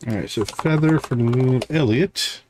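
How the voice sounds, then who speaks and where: A man's voice: mid-range voice, unhurried, a little mellow, slight background noise. A man giving casual live gameplay commentary, thinking aloud and chatting with viewers as he plays. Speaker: live streamer playing a farming game.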